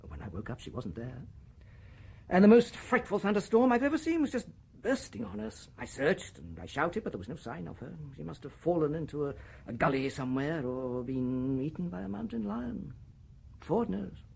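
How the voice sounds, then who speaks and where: A pompous middle-aged director, husky voice, swings up and down a lot. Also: male voice, on the high side, very animated, normal speed, exaggerated voice, rough recording. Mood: reminiscing. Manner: reproving, then drifting into a hushed memory. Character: stern, pompous male director recalling a loss. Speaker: Director, a superior official. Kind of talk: 1990s radio drama acting.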